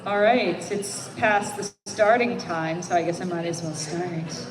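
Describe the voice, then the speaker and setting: A woman's voice: fairly low pitch, a little slow, slight background noise, low-quality recording. A young woman hosting a convention fan panel, chatting casually, joking, laughing and swearing into a handheld mic. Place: convention panel room indoors. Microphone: handheld microphone. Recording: room with loud crowd chatter. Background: chattering audience crowd.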